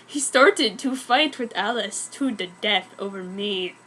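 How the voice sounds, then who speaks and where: A woman's voice: medium pitch, measured pace, a bit bright, a little hiss or hum. A woman doing a dramatic reading of amateur fan fiction as narrator, theatrical and easily amused. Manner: theatrical, often breaking into giggles.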